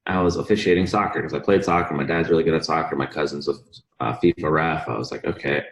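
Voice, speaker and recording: A man's voice: rumbling low, fast talker. A young man from Bosnia, a podcast guest telling his story in an upbeat, enthusiastic way. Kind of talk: casual interview chat.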